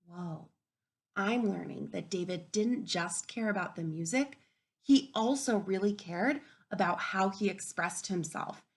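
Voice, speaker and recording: Soft-spoken teacher woman with gravelly edges. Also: medium pitch. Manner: gentle and encouraging, often dropping to a soft whisper. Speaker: kindergarten teacher. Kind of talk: read-aloud storybook lesson for young children.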